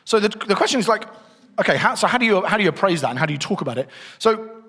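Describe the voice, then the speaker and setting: Middle-aged man, church teacher, casual and thinking aloud, voice a little high, ringing hall sound. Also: lively intonation, rapid-fire, fairly bright tone, husky. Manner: conversational, thinking aloud with restarts. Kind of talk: church teaching session with slides. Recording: long reverb of a hall.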